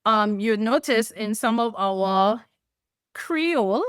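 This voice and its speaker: Slow, smooth Trinidadian woman. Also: a little high, animated, no echo, studio quality. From Trinidad and Tobago. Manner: explanatory, measured, with light laughs. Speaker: guest. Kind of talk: podcast interview.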